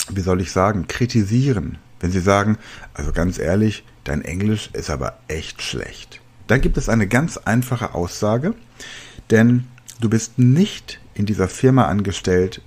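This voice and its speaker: A man's voice: sits low, darkish tone, breathy edge. A man in his forties hosting a solo podcast, coaching listeners directly in a conversational way with casual asides. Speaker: podcast host and language trainer.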